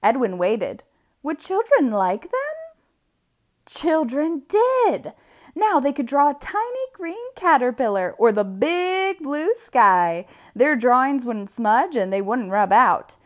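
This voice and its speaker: A woman storyteller reads a children's picture book in a fairly high voice that moves up and down in lively swings. She takes her time, leaning on key words and drawing them out for emphasis. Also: slow. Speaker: read-aloud storyteller.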